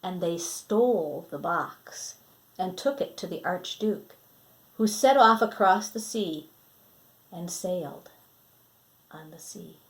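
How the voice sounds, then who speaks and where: A woman's voice: lowish voice, animated, takes it slowly, pauses often, poor audio. A woman reading a picture book aloud to children, dramatic in delivery and putting questions to her young listeners. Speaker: children's story reader and teacher.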